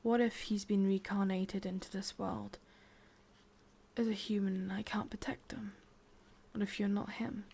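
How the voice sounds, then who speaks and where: A woman with an English accent playing a lovelorn, obsessive immortal witch in a breathy, intimate whispered ASMR roleplay. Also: mid-range voice, little pitch movement. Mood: worried, wistful. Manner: slow, hushed, intimate delivery with pauses.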